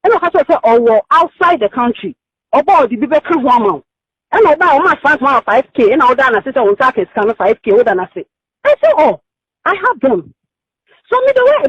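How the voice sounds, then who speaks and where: A high, very animated woman's voice on a muffled recorded phone call. She has a Ghanaian accent and talks insistently, circling back and rambling as she makes her case in a heated private conversation. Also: no room sound. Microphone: telephone.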